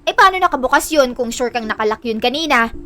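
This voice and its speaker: A woman's voice: sits high, expressive, quick pace, fairly bright tone, slight background noise. A woman playing an indignant, flippant younger sister in an audio drama, complaining in a sassy, exclamatory way. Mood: pained, indignant.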